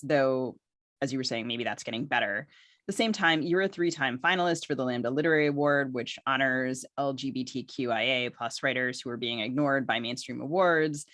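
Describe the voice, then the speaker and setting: A woman's voice: extremely low pitch, a bit bright, dry recording. A woman co-hosts a podcast in a very dry recording with no room sound. She lays out prepared context and then puts a question to her guest in an articulate interview style. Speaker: podcast co-host.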